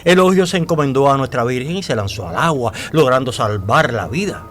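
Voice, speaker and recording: Man acting devout storyteller, animated and deliberate. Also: medium pitch, a little hiss or hum, natural voice. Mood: confiding, suspenseful. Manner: dramatic, deliberate storytelling with hesitations. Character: devout, talkative male storyteller revealing old secrets. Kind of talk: radio drama acting.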